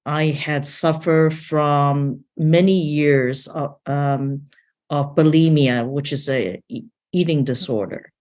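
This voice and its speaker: A woman from Taiwan who grew up in several countries, a missions leader sharing her faith story earnestly and haltingly as an interview guest. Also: sits low, steady tone, darkish tone. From born in Taiwan, grew up in several countries. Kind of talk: personal testimony in an interview.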